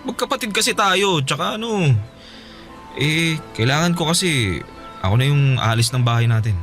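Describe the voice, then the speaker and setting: Lively, teasing big brother. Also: male voice, on the high side, normal speed, faint music behind. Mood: cheeky, wheedling. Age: young adult. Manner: joking, casual. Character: teasing, cheeky, carefree older brother. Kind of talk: Filipino radio drama acting.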